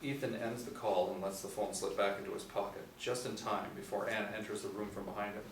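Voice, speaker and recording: Low-voiced male script narrator in roomy space. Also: fairly even intonation, fast. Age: 40s. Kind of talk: scripted narration of screen directions. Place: small indoor room, seated group. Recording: roomy, distant mic with faint noise.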